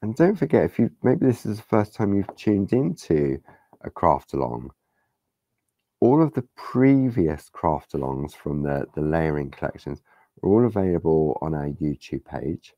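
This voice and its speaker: A man hosting a live craft-along, chatting casually and rambling as he works, with a hoarse voice after days of talking. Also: very deep voice, very animated, a little slow, mellow, dry recording, lo-fi sound. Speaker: craft demonstrator. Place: craft studio table. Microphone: overhead camera on hands, speaker unseen.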